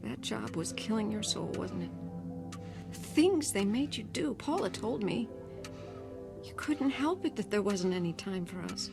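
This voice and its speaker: Slow, wistful, creaky-voiced woman. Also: medium pitch, lively intonation, music in the background. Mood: regretful, sad. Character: wistful, tender, regretful former girlfriend. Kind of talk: dramatic radio-play monologue.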